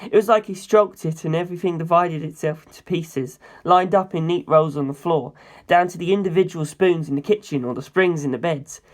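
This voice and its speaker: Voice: mid-range voice, fairly quick. Narrator reading first-person fiction, mid-range voice. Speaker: story reader.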